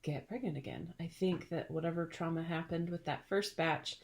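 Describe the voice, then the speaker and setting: A woman's voice: on the low side, moderate pace. A middle-aged woman hosting a casual chat, telling stories in a chatty, playful way and laughing often. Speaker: podcast host.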